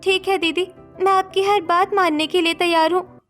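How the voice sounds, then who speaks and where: A woman's voice: extremely high pitch, fairly even intonation, moderate pace, fairly bright tone, soft background music. A woman voicing a stubborn, emotional young schoolgirl in a cartoon story, earnest and pleading. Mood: remorseful, pleading. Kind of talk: Hindi cartoon story dialogue acting.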